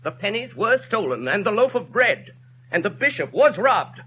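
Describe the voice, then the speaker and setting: An actor playing a dignified mayor with a hidden convict past, giving an impassioned courtroom speech in a 1930s radio drama. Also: male voice, high-pitched, very animated, moderate pace. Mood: grave. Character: mayor hiding his past as a convict, man, middle-aged, grave and passionate. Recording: dull lo-fi 1930s radio broadcast, faint hiss.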